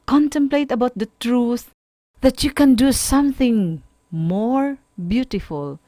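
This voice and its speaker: A woman with a Filipino accent giving an instructional radio talk with tips and examples, deliberate and emphatic, repeating key phrases. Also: a little high, dark tone, no echo, clean studio sound. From Philippines. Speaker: speaker giving a mental health lesson on a school radio programme.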